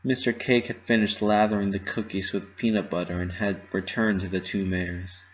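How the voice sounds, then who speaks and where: A man's voice: low voice, flat delivery, normal speed. A man narrating a story as a scripted dramatic reading. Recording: slightly muffled lo-fi recording.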